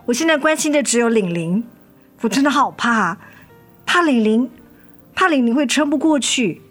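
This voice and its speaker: A wounded, anxious mother in a radio play, with a fairly high voice. She speaks in a guarded, sorrowful, emotional way, with music behind her. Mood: fearful, anguished. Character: hurt, anxious mother, wary of ex-husband. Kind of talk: radio drama acting. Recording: music under the voice.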